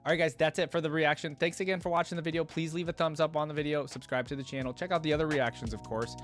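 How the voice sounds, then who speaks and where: A man's voice: a little high, fairly even intonation, very fast, soft background music. A man hosting a reaction video, giving casual, rambling conversational commentary.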